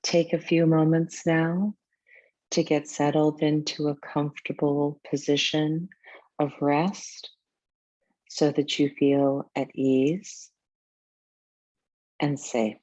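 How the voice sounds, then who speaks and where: A woman teaching yoga nidra, narrating a guided meditation in a soothing, deliberate way with measured pauses. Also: low voice, little pitch movement, mellow, dry recording, lo-fi sound.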